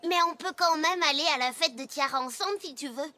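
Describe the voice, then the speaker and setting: A very high little-girl cartoon voice for a friendly schoolgirl pony. She is cheerful, chatty and guileless. There is clear music in the background. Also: brisk, sharp tone. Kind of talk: French cartoon dub.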